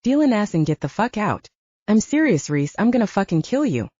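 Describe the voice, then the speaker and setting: A woman's voice: medium pitch, sing-song, brisk, darkish tone, no echo, dull audio, pitched above the performer's own voice. A woman voicing a hot-headed, foul-mouthed male werewolf soldier who threatens and growls in an audiobook. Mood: furious, threatening. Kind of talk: audiobook character dialogue.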